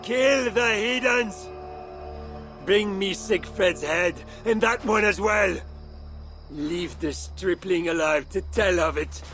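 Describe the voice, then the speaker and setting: Evil zealot bishop, very high for a man, slow pompous preaching, game villain. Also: fairly bright tone, faint music behind. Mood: commanding, merciless. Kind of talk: theatrical scripture-quoting game villain.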